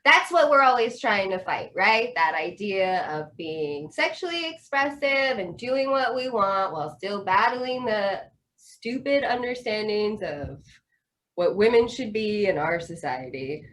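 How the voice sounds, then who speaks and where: Bubbly American woman interviewer, lively pitch. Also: a little hiss or hum. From United States. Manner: enthusiastic, quick to laugh. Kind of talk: casual interview chat.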